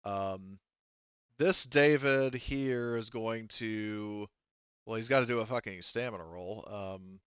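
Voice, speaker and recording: A man with a lowish, clean voice runs a tabletop roleplaying game. He talks rules through casually and often corrects himself. The sound is close and dry with a slightly muffled top. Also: takes it slowly, a bit bright, smooth, no echo. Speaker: game master.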